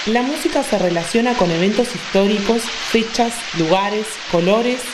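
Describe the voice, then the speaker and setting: A woman's voice: mid-range voice, normal speed. A woman reading a scripted radio show promo as an announcer. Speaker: radio station-ident announcer. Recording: loud sizzling noise behind.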